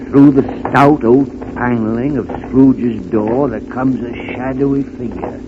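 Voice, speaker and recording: A man's voice: mid-range voice, noisy background, natural voice. A man acting as a dramatic, earnest storyteller, narrating in 1930s radio style. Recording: muffled 1930s radio recording with music.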